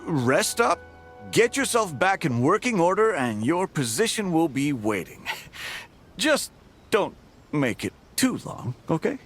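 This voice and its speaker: A man's voice: fairly high pitch, big pitch swings, a little slow. A man playing a placating, wheedling boss in a video game cutscene. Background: background music.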